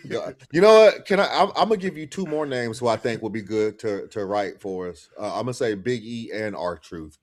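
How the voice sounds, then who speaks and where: A man's voice: fairly low pitch, animated, normal speed, hardly any pauses. A man in his forties in a casual chat, teasing and joking with the others. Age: 40s.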